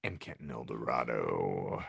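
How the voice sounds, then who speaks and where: A man's voice: rumbling low, expressive, unhurried, gravelly, a bit bright, husky, no room sound, clean studio sound. A man hosting a casual comedic podcast, talking in wordy, mock-formal phrases. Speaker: podcast host. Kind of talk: casual comedic panel chat.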